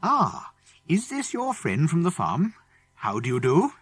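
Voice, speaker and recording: A man's voice: on the high side, wildly swooping pitch, unhurried, a bit bright, breathy edge, faint music behind, voice pushed up, heavily acted. Man as kindly British schoolmaster, jovial, polite, lilting range, children's audiobook. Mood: genial, amused. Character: genial adult schoolmaster, kindly and amused.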